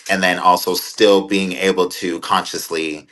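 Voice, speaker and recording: A man's voice: deep voice, little pitch movement, unhurried, sharp tone. A man in his twenties talking casually as an interview guest, rambling and thoughtful with many fillers. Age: 20s. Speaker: guest content creator.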